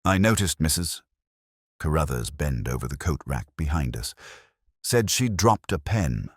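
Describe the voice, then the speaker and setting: A man's voice: a bass voice, big pitch swings, measured pace, dry recording, clean studio sound, full character voice. A man voicing a prim, guarded English spinster in a mystery story, with a stiff, defensive manner. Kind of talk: audio mystery story dialogue.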